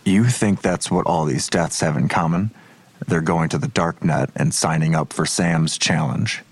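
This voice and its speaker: A very low-voiced, skeptical police detective in an audio drama. His husky delivery is terse and probing, recorded close and dry. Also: unhurried, no room sound, heavily acted. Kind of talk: naturalistic audio drama dialogue.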